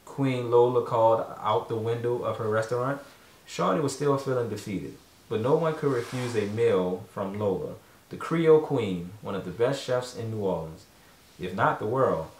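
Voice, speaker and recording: A man's voice: mid-range voice, animated, normal speed, a little mellow. A man narrating a children's storybook as a father reading aloud at home, away from the microphone. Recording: echoey room, distant mic.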